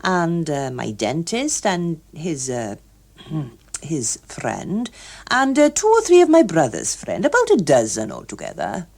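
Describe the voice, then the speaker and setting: A woman's voice: low voice, huge pitch swings, unhurried, darkish tone, exaggerated voice. A woman voicing a cheery, pedantic middle-aged male office worker in an audiobook, explaining emphatically with small hesitations. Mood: chatty, hesitant. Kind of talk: audiobook character voice.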